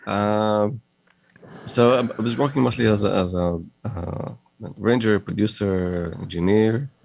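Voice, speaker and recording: A middle-aged man from Moldova with a mixed Russian and Israeli accent, talking haltingly in a casual interview, with many fillers and restarts. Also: lowish voice, creaky, darkish tone. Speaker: guest, audio company founder.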